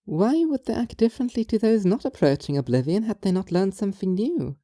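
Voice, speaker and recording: A woman playing a strange, earnest young philosopher in an audio drama, talking in a formal, measured, literal way. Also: medium pitch, big pitch swings, dark tone, no room sound, clean studio sound. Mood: earnest, questioning. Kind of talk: audio drama monologue and dialogue.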